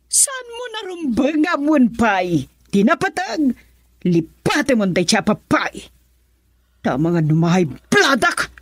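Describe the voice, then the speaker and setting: A woman's voice: fairly high pitch, sing-song, slow, dry recording, studio quality, full character voice. A Filipino woman playing a stern, controlling middle-aged mother in a radio drama. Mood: angry, scolding.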